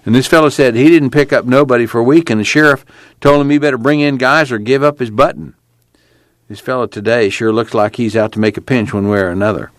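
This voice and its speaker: A man's voice: medium pitch, quick pace, no room sound, lightly acted. A man reading the part of a wary migrant farm worker who speaks in folksy rural talk. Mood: urgent. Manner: folksy rural speech, hushed and urgent. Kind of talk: reading a novel aloud.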